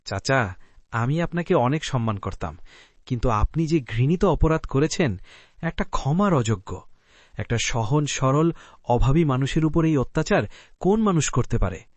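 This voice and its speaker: A man's voice: mid-range voice, expressive, moderate pace, dry recording, lightly acted. A man playing an indignant, righteous young man in a cartoon, solemn and reproachful toward an elder. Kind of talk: Bengali cartoon voice acting.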